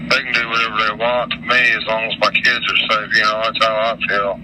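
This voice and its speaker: Low, flat-toned American man, halting. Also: noisy background. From Utah, United States. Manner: halting, repetitive, folksy. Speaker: interviewee. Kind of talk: informal interview answers.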